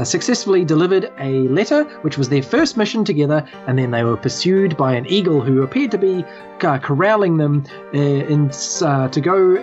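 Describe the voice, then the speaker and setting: A man with a New Zealand accent, running a tabletop game in a casual, halting, self-deprecating way. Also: on the high side. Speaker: game master. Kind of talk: casual game recap and narration. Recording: slightly muffled, music behind. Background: background music.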